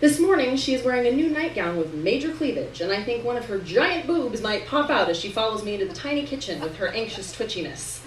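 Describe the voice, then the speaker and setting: A woman reads literary fiction in the first person to a live room. Her delivery is expressive, with deliberate pauses and shifting emphasis. The sound is echoey and distant, with faint noise behind her. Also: on the high side. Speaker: reader performing a novel chapter to an audience. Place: live reading event in a room.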